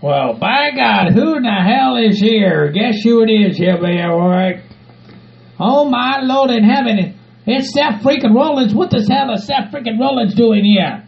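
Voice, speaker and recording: A man's voice: very high voice, animated, a little slow, vocal fry, full character voice. A man playing an agitated, exasperated hillbilly with a Southern US accent, ranting repetitively in a comedy skit. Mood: bewildered. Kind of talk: improvised comedy skit in character voices. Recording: muffled lo-fi recording with faint music.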